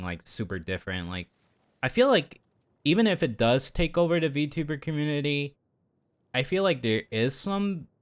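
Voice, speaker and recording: A man livestreaming in a casual chat over a game, rambling through his opinions and often repeating himself. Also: on the low side. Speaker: VTuber livestreamer.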